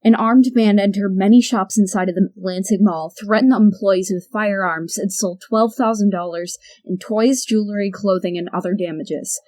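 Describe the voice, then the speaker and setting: A woman playing a TV news anchor, reading the news briskly. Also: medium pitch, steady tone, darkish tone, lightly acted. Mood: urgent.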